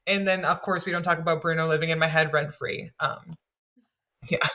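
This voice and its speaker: A woman talks casually on a podcast panel, animated and full of "like". Her voice is bright and forceful. She describes it herself as low and deep. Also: fairly quick. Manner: chatty and animated, heavy use of "like".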